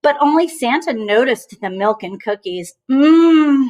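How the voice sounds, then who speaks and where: Woman, high voice, teacher, children's storybook read-aloud, expressive, emphatic, chatty asides. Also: normal speed, highs cut off.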